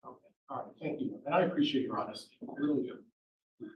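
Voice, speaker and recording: A man's voice: mid-range voice, a little fast, muffled recording, slight background noise. Man questioning jurors in an echoey courtroom. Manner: loud projecting voice, rambling with fillers. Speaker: attorney questioning prospective jurors. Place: large courtroom, indoors. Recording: echoey courtroom audio from far off.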